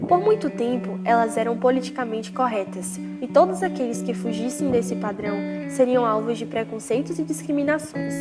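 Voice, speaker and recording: A young woman with a Brazilian accent reading scripted documentary material in a formal manner. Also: fairly high pitch. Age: young adult. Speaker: documentary narrator and interviewer. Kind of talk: scripted narration. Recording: distant mic under loud guitar music.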